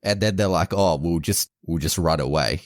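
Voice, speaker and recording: A man with an extremely low, deep voice chats casually on a podcast, stumbling through a plot summary with constant restarts and self-corrections. The sound is dry and close, with no room around it. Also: moderate pace, no echo. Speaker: podcast co-host. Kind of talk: casual chat, retelling a movie plot.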